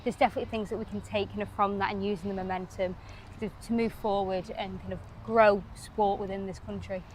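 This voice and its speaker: A young woman with a Northwest English accent, chatting loosely as a guest in an outdoor pitchside interview. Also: level tone, fairly quick, a little hiss or hum. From Northwest England. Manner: loose, chatty phrasing with frequent hedging fillers. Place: outdoors at a cricket ground.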